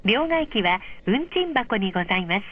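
A woman reading a polite, measured scripted announcement over a train's speakers, clearly enunciated. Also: medium pitch, sing-song. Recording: dull, muffled train speaker recording.

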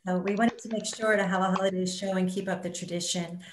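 A woman's voice: mid-range voice, fairly even intonation, quick pace, slight background noise. A woman speaking as a theater representative on a panel, presenting and reading out comments. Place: indoors in a room, on a video call. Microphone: computer mic on video call. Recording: roomy video call audio.

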